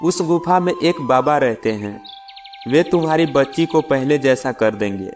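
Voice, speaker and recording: A man's voice: medium pitch, expressive, measured pace, darkish tone, faint music behind, lightly acted. A man voicing a stern village holy man and mystic, grave and admonishing, in a children's moral story. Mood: instructing.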